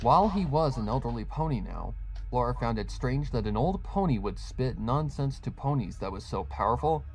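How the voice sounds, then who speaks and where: A man's voice: medium pitch, lively intonation, moderate pace, soft background music. A man reading a scripted horror fan fiction story as its narrator.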